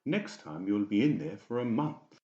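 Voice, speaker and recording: A man acts out a menacing male doctor from an audiobook drama. He gives curt, arrogant orders, louder than plain talk, in a roomy and slightly dull recording. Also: on the low side, very animated, moderate pace. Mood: stern threat. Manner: curt, commanding.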